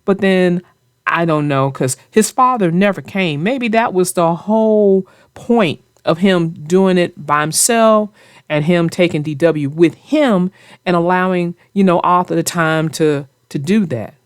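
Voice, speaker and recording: A woman hosting a children's read-aloud show, chatting about a book with young readers in deliberate, emphatic phrases. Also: fairly low pitch, smooth, dry recording, studio quality.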